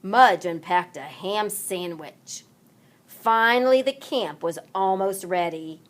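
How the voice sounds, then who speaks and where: A woman narrating a children's read-along story aloud in an expressive, deliberate manner. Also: medium pitch. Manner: deliberate, animated delivery for children.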